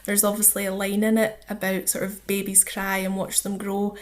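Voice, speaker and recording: Female charity spokesperson for a radio interview: mid-pitched, fairly level delivery, earnest and explanatory. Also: monotone, moderate pace. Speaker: charity communities officer, interview guest.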